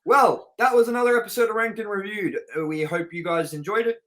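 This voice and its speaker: A man's voice: sits high, a little fast, a bit bright. A man co-hosting a movie review show in casual, unscripted chat, talking informally and straight to the audience. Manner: informal, chatty, addresses viewers directly.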